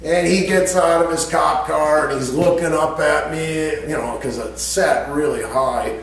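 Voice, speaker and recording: A man's voice: a little high, fairly even intonation, a little slow, gravelly. American man telling stories in an echoey room. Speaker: storyteller. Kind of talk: casual anecdotal storytelling. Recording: echoey room, distant mic, faint hum.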